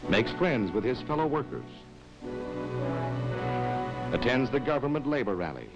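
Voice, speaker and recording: An actor playing a wry, knowing narrator of a 1940s spy training film, with a clipped, sardonic delivery. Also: male voice, mid-range voice, sing-song, fast talker. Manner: sardonic, clipped, addressing the character. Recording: muffled 1940s film soundtrack, music behind.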